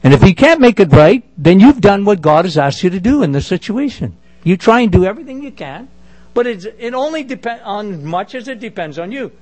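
A middle-aged man with a big booming voice preaching a sermon, emphatic with conversational asides. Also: big pitch swings, fairly quick, darkish tone.

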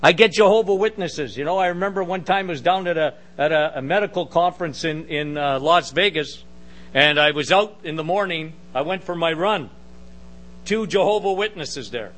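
A middle-aged man with a big booming voice preaching a sermon, emphatic with conversational asides. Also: moderate pace, fairly bright tone.

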